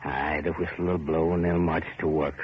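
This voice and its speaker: Ominous factory overseer, bass-deep voice, sardonic, terse, old radio drama, muffled hissy recording. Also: male voice, level tone, moderate pace. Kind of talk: 1940s radio horror acting.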